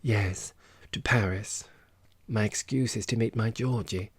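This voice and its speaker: A man's voice: fairly low pitch, a little slow, breathy edge, no room sound, clean studio sound. A man voicing an exuberant, playful woman opera singer who talks in teasing exclamations, in an audiobook. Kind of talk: audiobook character voice.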